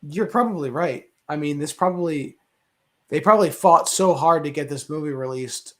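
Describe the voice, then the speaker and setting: A man with a somewhat high voice talks as a panelist on a casual movie show. He is opinionated, working through his arguments and throwing in rhetorical questions. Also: moderate pace, a bit bright. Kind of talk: casual opinionated film chat.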